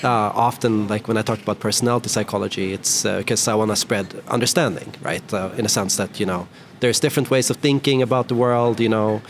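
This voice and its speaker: A man's voice: lowish voice, fast, few pauses. A man whose first language is Swedish, hosting an interview in a casual way with many "you know"s and "right"s. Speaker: host, interviewer. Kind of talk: conversational interview. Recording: faint background noise.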